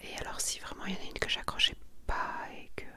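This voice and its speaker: Lady doing asmr, all whispered, breathy n soft, chatty little asides. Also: fast. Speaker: host of an ASMR manga collection video. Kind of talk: whispered ASMR presentation, reading summaries and commenting.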